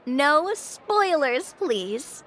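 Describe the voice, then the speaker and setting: A woman's voice: sits high, very animated, very slow, a bit bright, slight background noise, exaggerated voice. A woman playing a playful, eager young woman in a video game, with a theatrical, exclamatory manner. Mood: excited, teasing. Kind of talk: English video game voice acting.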